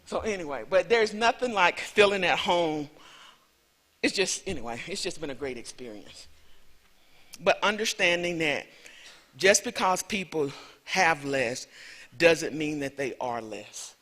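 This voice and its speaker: A woman's voice: sits low, lively intonation, a little slow, a bit bright. A woman from Alabama with a Southern US accent, giving a chatty, rambling conference talk as a visiting lecturer, full of self-deprecating asides. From Alabama, USA. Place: large lecture hall. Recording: reverberant hall.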